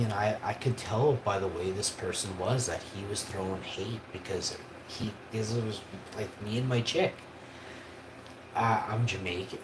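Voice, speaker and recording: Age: 40s. Sex: man. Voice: low-pitched, chatty. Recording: roomy, noisy. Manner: casual and chatty, with frequent tag questions and restarts. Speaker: livestreamer. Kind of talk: rambling personal storytelling.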